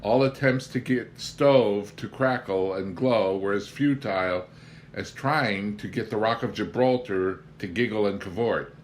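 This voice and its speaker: A man reading a humorous memoir aloud as an expressive storyteller, with wry, theatrical, deliberate phrasing. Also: fairly low pitch, a little hiss or hum. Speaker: audiobook reader.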